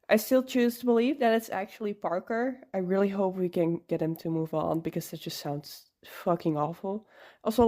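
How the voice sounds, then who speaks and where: A woman's voice: mid-range voice, darkish tone. A woman giving casual fan commentary in a listener voice submission.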